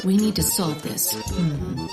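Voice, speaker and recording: An actress playing a brisk, bossy woman detective with a dry, impatient manner in a comedic audio drama. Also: fairly low pitch, loud music behind the voice. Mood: puzzling, brisk.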